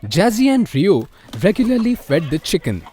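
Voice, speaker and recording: A man's voice: sits high, big pitch swings, normal speed, darkish tone. A man narrating a scripted children's story.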